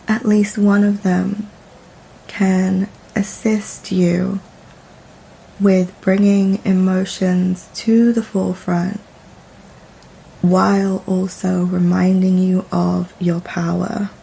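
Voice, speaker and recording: A woman leading a guided meditation in a soft, soothing, deliberate manner with long pauses between phrases. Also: mid-range voice, gravelly, slight background noise, rough recording.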